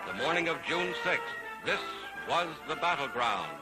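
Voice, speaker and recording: Male, raised pitch. Solemn, declamatory 1940s newsreel narrator, delivered over a very loud music score. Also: big pitch swings, moderate pace, low-quality recording. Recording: archival 1940s newsreel soundtrack. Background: loud orchestral music.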